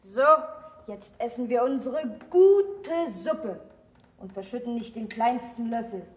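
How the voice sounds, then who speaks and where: A woman's voice: fairly high pitch, animated, takes it slowly, warm tone, recorded from a distance. An actress playing a patient woman who coaxes her charge as if talking to a child, in a radio drama. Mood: coaxing. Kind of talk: German radio drama acting. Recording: echoey room, off the mic.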